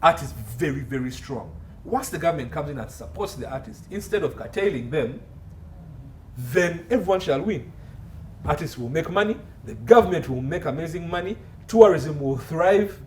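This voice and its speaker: A man's voice: a little high, expressive, normal speed, a little mellow. A man with a Ugandan accent speaking as an expert guest in an interview, emphatic and repeating phrases for stress. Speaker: guest, entertainment law consultant. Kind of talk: interview commentary. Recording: distant mic with faint background noise.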